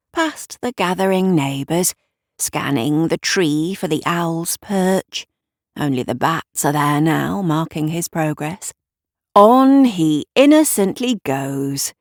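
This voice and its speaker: Woman reading a story, lowish voice, really takes her time, wry and conspiratorial, dry sound. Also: animated, slow, no echo. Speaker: writer and narrator. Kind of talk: dramatic scripted storytelling.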